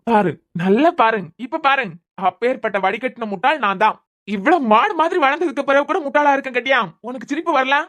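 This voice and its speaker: A woman's voice: sits high, brisk, fairly bright tone. Woman doing a bitter, sarcastic young husband. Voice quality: sarcastic, bitter, repeating words when angry. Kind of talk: amateur audio drama.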